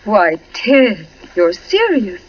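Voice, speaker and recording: An actress playing a charming young wife in a 1940s radio drama, teasing, light and poised. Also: female voice, medium pitch, very animated, slow pace. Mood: playful, poised. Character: charming young wife, 25, playful and coolly composed. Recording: muffled, very noisy 1940s radio recording.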